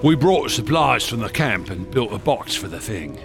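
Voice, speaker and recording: A feverish, wildly expressive obsessed explorer. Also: male voice, medium pitch, normal speed, vocal fry, music in the background. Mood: awed, haunted. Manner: theatrical, intense, swinging from hushed awe to eager bursts. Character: obsessed, boastful explorer man recounting a dark expedition, feverishly excitable. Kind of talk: radio horror drama acting.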